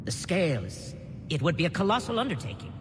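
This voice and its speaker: A man's voice: high-pitched, very animated, quick pace. An actor playing a haughty, skeptical male alien councilor in a game, formal and dismissive. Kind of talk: dramatic game dialogue. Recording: reverberant chamber, clear background noise.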